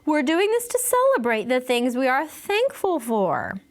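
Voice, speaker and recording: A woman voicing a weary, patient male first-grade teacher in a children's storybook, measured and explanatory. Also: high-pitched, wildly swooping pitch, pitched above the performer's own voice, full character voice. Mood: reproving. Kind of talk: children's storybook read-aloud.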